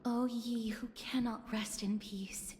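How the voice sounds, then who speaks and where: A woman voicing a solemn, gentle young spirit medium in a video game, hushed, measured and formal. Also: fairly high pitch, steady tone, background noise. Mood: solemn, reverent. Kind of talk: dramatic video game voice acting. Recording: game dialogue over music.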